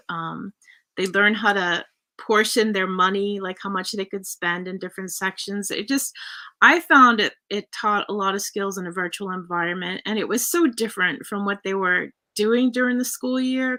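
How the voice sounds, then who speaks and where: A woman's voice: mid-range voice, sharp tone. A middle-aged woman on a panel, sharing personal anecdotes in a casual, informal, slightly rambling way. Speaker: panel speaker, parent.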